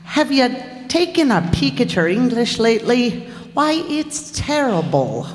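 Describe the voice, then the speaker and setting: A woman acts out a prim wife in an old-time radio comedy. She chides patiently, in a high, lively-pitched voice at a slow pace. The hall around her echoes. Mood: chiding. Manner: deliberate, chiding, instructive. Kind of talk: live re-creation of 1940s radio comedy. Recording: echoey hall, live stage performance.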